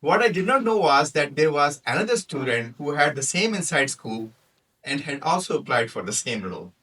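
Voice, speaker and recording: A man's voice: fairly high pitch, big pitch swings, normal speed, sharp tone, slightly rough, far from the mic, low-quality recording. A man in his forties with a Pakistani accent, a native Punjabi speaker, giving a humorous prepared club speech and acting out the people in his story. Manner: storytelling, acts out quoted characters.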